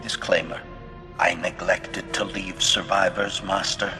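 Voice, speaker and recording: A man's voice: sits low, level tone, unhurried, pushed and bright, long reverb tail, faint music behind, exaggerated voice. A man voicing a servile, smugly precise, gleefully murderous assassin droid in a video game, speaking in formal, clipped announcements through a robotic filter. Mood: pleased, menacing. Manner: formal, clipped, announces each statement with a label word. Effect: metallic robot voice filter. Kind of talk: video game character dialogue.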